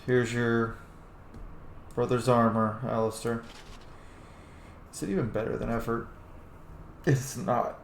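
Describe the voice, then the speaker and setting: A man's voice: mid-range voice, level tone, slow pace, long pauses. A man giving casual, sweary gameplay commentary as a let's play host, talking to the game's characters as he plays. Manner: sweary, talks to game characters. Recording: roomy echo, clear background noise.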